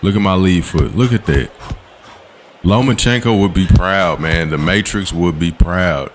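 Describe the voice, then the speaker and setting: Low-voiced man breaking down gameplay. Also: noisy background. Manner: laid-back, coaching, slangy with quick "boom" call-outs. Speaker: gaming channel host. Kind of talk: gameplay voice-over commentary. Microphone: voice-over recorded separately from the footage.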